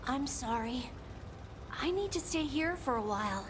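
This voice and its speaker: A woman voicing a gentle, wistful male creature in a video game, with a soft, lilting voice. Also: high-pitched, expressive, measured pace. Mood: grateful. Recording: game audio with clear background noise.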